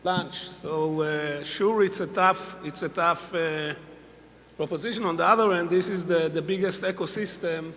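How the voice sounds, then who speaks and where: Accented guy judging startups, high voice, dry jokes, echoey hall. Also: fairly even intonation, pushed and bright. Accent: non-native English. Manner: deliberate, many pauses, dry humor. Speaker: panel judge. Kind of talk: panel commentary.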